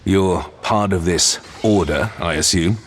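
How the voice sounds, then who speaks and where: A man's voice: low-pitched, measured pace, slight background noise. An actor playing a curious male warrior who questions a stranger. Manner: questioning, plain.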